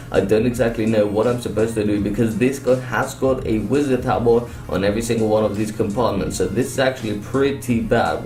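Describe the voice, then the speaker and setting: A young man giving casual, upbeat commentary over his own gameplay, his voice a little hoarse. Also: on the low side, mellow. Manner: chatty, upbeat, many fillers. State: slightly hoarse. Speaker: gaming YouTuber. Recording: echoey room, distant mic, faint music. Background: faint background music.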